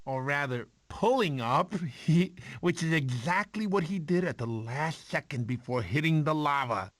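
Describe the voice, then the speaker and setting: A man's voice: fairly high pitch, lively intonation, normal speed, fairly bright tone, slight background noise. A man voicing a goofy, boastful, doting cartoon sloth uncle who tells bedtime stories theatrically and stumbles over his words. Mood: dramatic storytelling. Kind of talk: animated film voice acting, bedtime storytelling.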